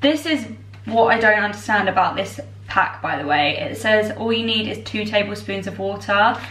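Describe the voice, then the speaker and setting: A young woman in her twenties, tired and a little croaky, chatting in a bubbly way to her camera while she bakes in her home kitchen. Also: mid-range voice, normal speed, a bit bright. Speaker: vlogger. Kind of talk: casual chat. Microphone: far camera. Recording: echoey kitchen from far off.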